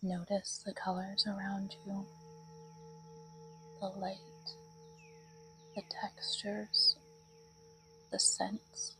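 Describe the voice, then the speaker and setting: A woman leading a guided meditation in a soothing, breathy voice that often drops toward a whisper. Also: medium pitch, level tone, very slow, pauses often, sharp tone, soft background music, poor audio.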